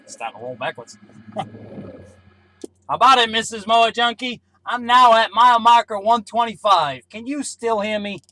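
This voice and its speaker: A man's voice: very high-pitched, expressive, normal speed, bright, forceful tone, a little hiss or hum, low-quality recording. A man talking casually as a CB radio operator, making brief two-way range-check calls.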